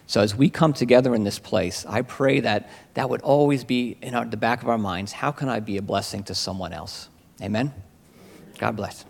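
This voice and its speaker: Gravelly man giving heartfelt testimony. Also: mid-range voice. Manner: heartfelt, grateful, a little halting with fillers. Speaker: church member giving testimony. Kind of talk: spoken testimony to a congregation. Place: church sanctuary. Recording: reverberant church hall.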